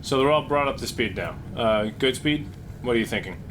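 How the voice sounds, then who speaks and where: A man with a mid-pitched voice talks casually while playing a tabletop role-playing game. His recording carries clear background noise. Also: animated, brisk.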